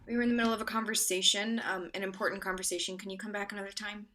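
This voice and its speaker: A woman's voice: mid-range voice, flat delivery, racing, fairly bright tone, close to an everyday voice. An actress playing a worried girlfriend who speaks curtly and insistently in a staged play reading. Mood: tense. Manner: curt, insistent, pointed. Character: worried young woman, sharp-tongued girlfriend.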